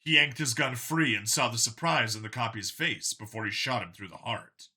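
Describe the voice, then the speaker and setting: A man's voice: medium pitch, normal speed, bright, forceful tone, slightly rough. A man narrating a story in a dramatic scripted reading style.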